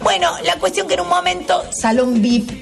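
An older woman with a Rioplatense accent, a tarot and astrology host telling stories in a chatty, animated way and laughing often. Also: extremely high pitch, moderate pace, vocal fry, far from the mic, loud music behind the voice. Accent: Rioplatense Spanish. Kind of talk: casual chat.

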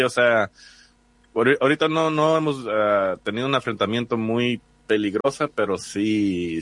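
Low-voiced guy with a mexican accent, lots of ums and restarts, dry close sound. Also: a bit bright, dry recording. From United States. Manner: hesitant, many restarts and fillers. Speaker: reporter. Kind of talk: interview answers.

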